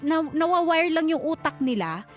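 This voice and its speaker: A very high-voiced Filipino woman gives on-air advice as a radio host. She speaks in an emphatic, conversational way, slipping into English, with music playing behind her. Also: sing-song, normal speed. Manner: emphatic, code-switches into English. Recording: music bed under voice. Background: background music.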